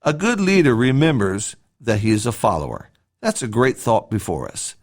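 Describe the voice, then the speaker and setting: Man reading a devotional, widely varied pitch. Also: big pitch swings, moderate pace. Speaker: church podcast host reading his devotional. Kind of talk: scripted devotional talk, read aloud.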